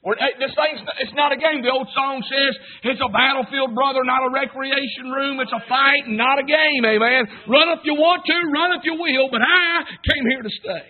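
A man's voice: very high voice, moderate pace, few pauses. A man preaching an emphatic revival sermon, punctuating lines with "hey" and "amen". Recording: tinny phone-like sound.

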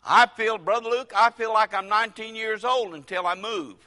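Older male pastor, folksy sermon delivery, forceful bright tone, long church reverb. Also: sits high. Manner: folksy preaching with dramatic pauses and sudden loud rises.

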